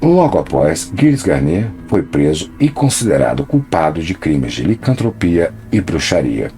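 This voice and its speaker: A man narrating a scripted documentary in a measured, dramatic storytelling manner. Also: deep voice, sing-song, dark tone.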